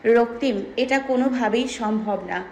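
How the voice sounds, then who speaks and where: Actress as young woman, high voice, self-assured, romantic story drama, soft music behind. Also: normal speed, close to an everyday voice. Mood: friendly, firm. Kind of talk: Bengali romantic audio story acting.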